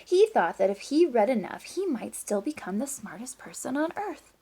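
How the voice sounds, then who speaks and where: A woman teacher reading a picture book aloud to children, with expressive emphasis, drawn-out words and hushed asides. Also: on the high side, husky.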